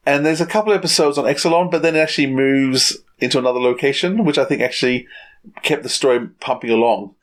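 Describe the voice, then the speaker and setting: A man co-hosting a podcast, chatting casually and haltingly as he searches for his words. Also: mid-range voice. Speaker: podcast co-host. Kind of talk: casual review chat.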